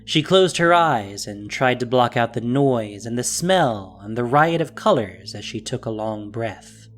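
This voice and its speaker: Low-pitched expressive audio drama narrator. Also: measured pace, faint music behind.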